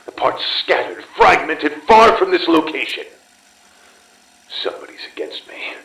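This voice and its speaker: A slow, tense male voice put on by an actor of an obsessive, murderous scientist coming undone. He speaks in halting, brooding bursts, recorded like an echoey horror game tape. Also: fairly high pitch, wildly swooping pitch, gravelly, sharp tone, full character voice. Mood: indignant, panicked. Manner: halting, intense, brooding monologue. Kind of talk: scripted horror game audio log.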